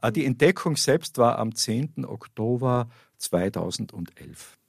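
A man's voice: mid-range voice, lively intonation, measured pace, vocal fry, slightly rough, dry recording, clean studio sound. A man with an Austrian accent giving explanatory answers as an expert guest in a radio interview. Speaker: interview guest, astrophysics professor. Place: small radio studio at a school. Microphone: studio microphone.

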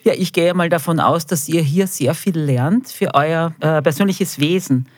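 A woman's voice: deep voice, normal speed, no room sound. A middle-aged woman with an Austrian accent gives enthusiastic, colloquial interview answers to children as a school official. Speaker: school quality manager, interview guest.

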